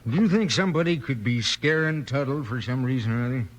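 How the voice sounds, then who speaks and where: An actor playing a folksy small-town sheriff with an American Western accent in a 1950s radio western. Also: male voice, mid-range voice, expressive, quick pace, natural voice. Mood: pondering.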